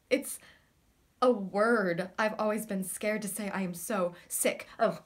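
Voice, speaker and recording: A woman's voice: mid-range voice, slow, natural voice. An actress playing a torn, lovesick woman in an intimate theatrical monologue, earnest, hesitant and vulnerable. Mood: torn, raw. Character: torn, lovesick woman, scared yet tender and confessional.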